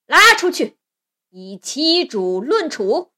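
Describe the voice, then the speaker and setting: A woman's voice: sits high, big pitch swings, slow pace, bright, forceful tone, no echo, studio quality, voice pushed up, heavily acted. A woman voicing a lazy, haughty emperor in a storybook tale, with languid, drawling commands. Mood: furious. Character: lazy, haughty, pot-bellied emperor, quick to rage.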